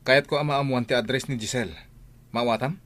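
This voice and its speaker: An actor playing a determined young gentleman from a wealthy household, with a Filipino accent, in radio comedy-drama style. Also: mid-range voice, fairly quick, lightly acted. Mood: urgent. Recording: radio drama with music behind. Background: music.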